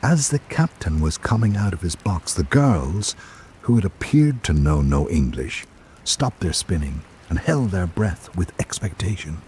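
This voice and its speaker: A man with a deep, mellow voice narrates a literary story. There is faint ambient noise behind him. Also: lively intonation, unhurried, low-quality recording.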